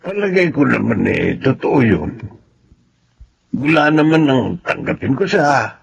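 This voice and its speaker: An actor playing a soft-hearted, trusting older brother in a Filipino radio drama. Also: male voice, medium pitch, sing-song, measured pace, creaky, dull audio, slight background noise, exaggerated voice. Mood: sympathetic.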